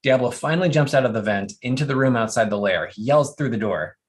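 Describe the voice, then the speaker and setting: A narrator reading out scripted stage directions at an animated comedy table read. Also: medium pitch, fast.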